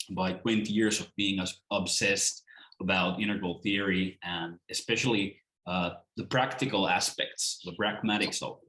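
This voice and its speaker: A man with a Finnish accent introducing himself informally as a guest speaker, pausing and repeating words hesitantly. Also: low-pitched, fairly bright tone. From Finland. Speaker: guest speaker, philosopher and writer. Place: indoors, on a video call. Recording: roomy, echoing video call.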